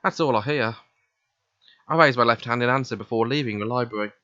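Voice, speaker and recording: A man's voice: medium pitch, brisk, pushed and bright, dry recording, highs cut off. A man reading a visual novel aloud, narrating in the first person and voicing its dialogue.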